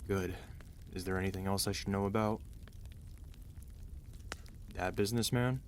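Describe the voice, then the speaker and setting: A man acting out a weary, protective mafia boss husband in an ASMR roleplay, commanding and dry yet gentle and intimate. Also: deep voice, fairly even intonation, normal speed, a little hiss or hum, natural voice. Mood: stern, businesslike. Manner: tired, authoritative, dry, intimate.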